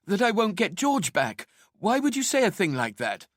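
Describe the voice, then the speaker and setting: A distraught mother, played by a male audiobook reader, raised well up in pitch. She is intense and accusing, pleading as she argues, in a dry recording. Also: sing-song, measured pace, fairly bright tone, slightly rough, dry recording, full character voice. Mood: anguished, accusing. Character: distraught angry mother, accusing her husband. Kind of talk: audiobook character acting.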